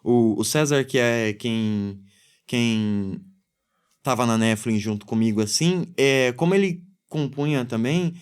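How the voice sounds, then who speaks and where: A man's voice: mid-range voice, a little slow, clear, resonant tone. A man in his thirties with a Brazilian accent, a podcast guest chatting casually about his music, rambling with lots of fillers. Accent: Brazilian Portuguese, São Paulo countryside. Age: 30s. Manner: relaxed, rambling, many fillers. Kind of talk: casual chat.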